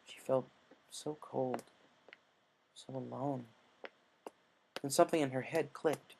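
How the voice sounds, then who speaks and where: A man reading a scripted story as narrator, in a measured way with occasional stumbles. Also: mid-range voice, fairly even intonation, vocal fry, darkish tone, a little hiss or hum, poor audio. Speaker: story reader.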